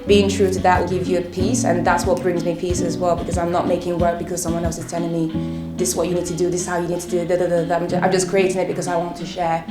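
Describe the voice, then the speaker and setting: A woman artist from Nigeria talking about identity in an interview, soft and searching with many pauses. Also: on the low side, little pitch movement, echoey room, loud music behind the voice. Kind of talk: reflective interview.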